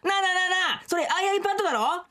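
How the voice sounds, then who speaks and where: A man's voice: very high-pitched, expressive, brisk, sharp tone, full character voice. A man voicing a cheeky, freeloading young stray dog in a comedic radio drama, brash, slangy and pushy. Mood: cheeky, casual.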